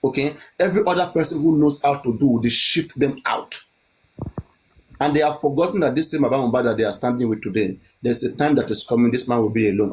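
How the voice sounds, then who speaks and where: A man's voice: medium pitch, slight background noise, poor audio. A man, giving his opinion in a chat and pressing his points with repeated rhetorical questions.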